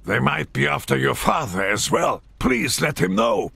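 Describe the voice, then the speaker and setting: An actor playing a gruff, weary veteran military commander with a gravelly, husky voice in a video game. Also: male voice, a little high, big pitch swings, moderate pace, bright, forceful tone, no room sound, exaggerated voice. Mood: tense. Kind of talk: video game cutscene acting.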